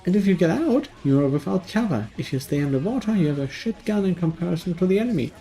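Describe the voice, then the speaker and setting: A man's voice: high voice, expressive, quick pace, dark tone. A man narrating a scripted video game review in a dry, sardonic manner.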